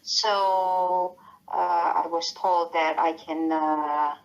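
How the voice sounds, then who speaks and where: A woman with a Romanian accent speaks slowly over a muffled call line, her voice low and gravelly. She answers interview questions haltingly, filling pauses with many small hesitations. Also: fairly even intonation. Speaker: nurse. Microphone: phone call.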